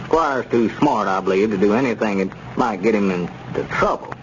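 A man playing a sensible, suspicious small-town friend in a 1930s radio serial, with a folksy, measured way of reasoning. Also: on the low side, natural voice. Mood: worried, suspicious. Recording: muffled, noisy 1930s radio recording.

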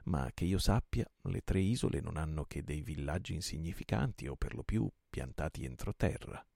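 A man with a gravelly voice voicing a loyal male pirate comrade in an audiobook, brisk when ordering and calm when reassuring. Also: very deep voice, no room sound, studio quality, heavily acted. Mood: musing.

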